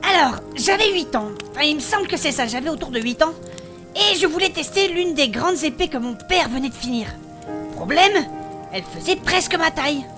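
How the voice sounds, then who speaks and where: A woman playing a spirited female blacksmith adventurer, recounting her past in animated, colloquial in a fantasy audio drama. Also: high-pitched, fairly quick, over music. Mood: lively storytelling. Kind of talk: French fantasy audio fiction acting.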